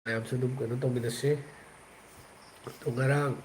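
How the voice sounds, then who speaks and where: A man's voice: medium pitch, steady tone, rapid-fire, slight background noise, poor audio. A man from northeast India with a Manipuri accent, chatting casually and rambling to his viewers on a live stream.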